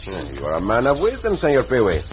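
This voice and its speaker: An actor playing a suave, courtly caballero hero in an old-time radio drama. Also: male voice, medium pitch, wildly swooping pitch, quick pace, muffled recording. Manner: courtly, polite, smooth. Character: suave gallant Mexican caballero hero, man. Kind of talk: 1940s radio acting. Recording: hissy old radio recording.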